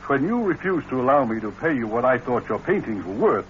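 Guy playing a kindly rich older gent, fatherly, lots of pitch swing, muffled old radio sound. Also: big pitch swings, fairly quick, close to an everyday voice. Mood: genial, pleased. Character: kindly, generous wealthy older gentleman benefactor. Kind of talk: 1940s radio drama acting. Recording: muffled, faintly noisy old radio recording.

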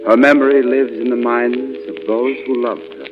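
A man's voice: medium pitch, fairly even intonation, normal speed, close to an everyday voice. Solemn funeral clergyman played by an actor, tinny. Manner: solemn eulogizing. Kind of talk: 1940s radio drama acting. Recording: tinny old radio, loud music behind.

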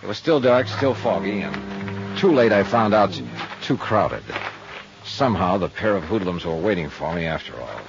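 A hardboiled, world-weary private investigator from a fifties radio serial. His low voice tells the case in dry, understated lines. The old recording is muffled, with music around it. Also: sing-song, moderate pace, creaky, heavily acted. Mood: wry narration. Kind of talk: 1950s radio detective drama, hardboiled narration.

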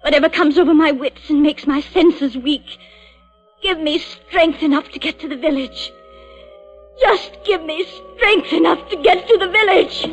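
High-pitched dying woman, desperate, 1940s radio melodrama, soft music behind. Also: unhurried, highs cut off. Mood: desperate, faltering.

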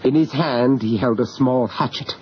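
An actor playing an earnest, courteous gentleman investigator recounting a horror tale in an old radio drama, sometimes hesitant and always dramatic. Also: medium pitch, moderate pace, natural voice. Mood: ominous narration. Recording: muffled, noisy old radio drama recording.

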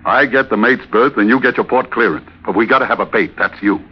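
An actor playing a breezy, sly, scheming sailor in old-time radio drama, cocky, insinuating and easygoing. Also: male voice, mid-range voice, fast, slightly rough, close to an everyday voice. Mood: persuasive, amused. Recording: dull vintage radio recording.

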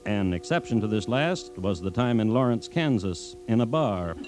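An actor narrating an old-time radio drama as a dry, sardonic storyteller with a deadpan manner. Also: male voice, fairly low pitch, normal speed, lightly acted. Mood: wry. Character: dry, sardonic male storyteller of outlaw tales. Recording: vintage radio recording, music underneath.

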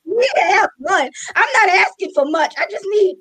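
A woman's voice: very high-pitched, expressive, moderate pace, fairly bright tone. A woman of thirty-eight co-hosting a call-in talk show, joking and emphatic in casual chat. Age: 30s. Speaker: radio show co-host.

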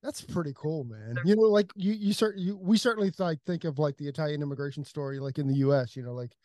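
A high-pitched man talks very fast as a podcast host, tumbling through restarts and fillers. His voice sounds dry and close, with no room echo. His manner is eager and casual. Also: darkish tone. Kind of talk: casual interview chat.

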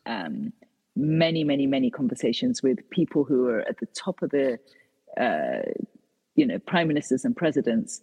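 A woman's voice: extremely low pitch, sing-song, normal speed, dark tone, breathy edge, long reverb tail, low-quality recording. A British woman, an author and guest, answering interview questions with many fillers and restarts. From Britain.

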